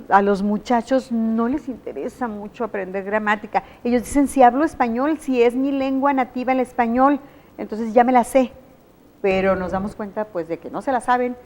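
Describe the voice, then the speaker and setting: A woman's voice: a little high, darkish tone. A woman with a Mexican Spanish accent hosting an educational TV interview, asking long leading questions in a conversational way. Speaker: TV show host.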